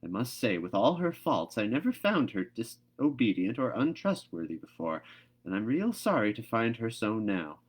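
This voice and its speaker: Storybook role: male reader as middle-aged farm woman, stern and exasperated, scolding with brisk emphasis. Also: mid-range voice, very animated, breathy edge. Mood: indignant.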